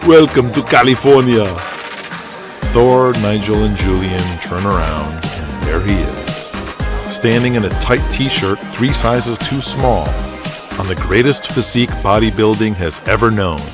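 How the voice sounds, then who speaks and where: A man's voice: fairly low pitch, animated, measured pace. A man reading scripted dramatic narration as the narrator of an audio drama. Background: music.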